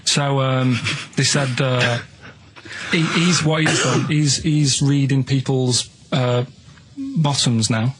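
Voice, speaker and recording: A man's voice: medium pitch, slow pace. A man telling odd anecdotes in casual radio chat, rambling and matter-of-fact. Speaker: radio show co-host.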